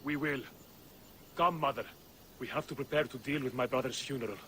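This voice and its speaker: A man's voice: medium pitch, fairly quick, a bit bright, slight background noise, close to an everyday voice. An actor playing an earnest young son concerned for his family's honour, speaking dramatically in a game cutscene over faint ambience. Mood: relieved. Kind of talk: video game cutscene acting.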